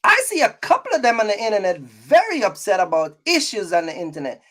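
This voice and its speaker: A man's voice: high-pitched, sing-song, brisk, pushed and bright. Middle-aged man, emphatic casual vlog talk. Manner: repetitive, emphatic, checks in with listeners. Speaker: trucking business owner and content creator. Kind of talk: casual vlog monologue to viewers.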